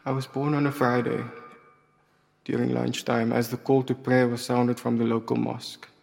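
A man speaking calm, reflective statements in an art video. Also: mid-range voice, normal speed, gravelly. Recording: art video played in a reverberant hall.

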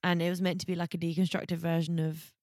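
A woman's voice: lowish voice, little pitch movement, quick pace, a little mellow, no room sound. A woman co-hosting a podcast, chatting casually about fashion in a blunt, sweary, enthusiastic way. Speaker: podcast co-host. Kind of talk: casual chat, fashion commentary.

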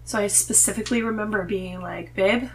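A woman's voice: medium pitch, a little fast, rough recording. Nasal woman chatting over background noise. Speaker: podcast guest. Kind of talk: casual conversational chat.

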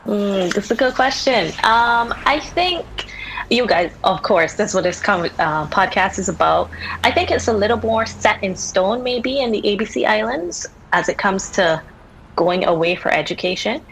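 A woman's voice: mid-range voice, animated, moderate pace, a bit bright, a little hiss or hum, low-quality recording. A young woman from Barbados, a visual artist and podcast guest, talking casually and thoughtfully with an easy laugh. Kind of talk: casual conversation. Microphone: remote recording from home setup.

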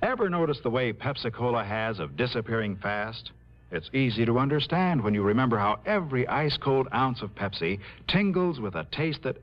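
A man working as a commercial announcer, delivering a scripted 1960s radio advertisement. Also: medium pitch. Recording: dull old radio broadcast recording.

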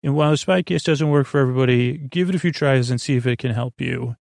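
Gravelly man rambling through a bedtime monologue. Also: mid-range voice, a little mellow, dry recording, clean studio sound. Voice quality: creaky, gravelly. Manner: halting, digressive, trails off and restarts sentences. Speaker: podcast host.

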